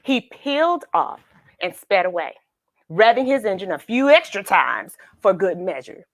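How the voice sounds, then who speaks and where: A woman's voice: medium pitch, dry recording. A woman hosting a children's read-aloud, narrating a storybook expressively with emphasis and questions to young listeners. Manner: expressive, emphatic, dramatic pauses.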